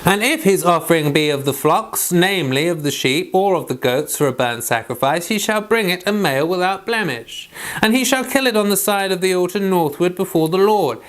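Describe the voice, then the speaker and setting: A man's voice: high-pitched, lively intonation, brisk, fairly bright tone. A man leading an informal Bible read-along, reading scripture aloud and giving chatty devotional commentary.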